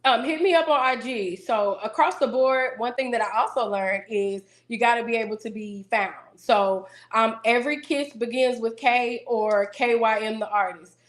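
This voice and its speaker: A woman singer interviewed as a guest, talking casually in a self-assured, businesslike way with a deeper voice. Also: moderate pace, few pauses. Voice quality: deeper singing voice.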